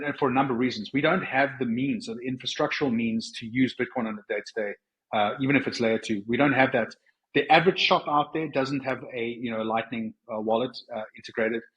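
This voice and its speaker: A man with a South African accent talks in a conversational interview style at a medium pitch. He explains his points earnestly and often restarts or rephrases mid-sentence. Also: a bit bright, rough recording. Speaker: podcast guest.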